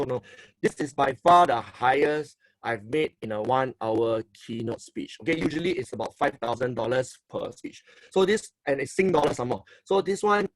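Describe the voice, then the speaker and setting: A man's voice: a little high, no echo, low-quality recording. A middle-aged man from Singapore with a Singaporean English accent, giving an online seminar talk as a motivational speaker and coach. He speaks emphatically, repeats phrases and often ends sentences with "okay?". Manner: emphatic, repeats phrases, asks for likes and applause.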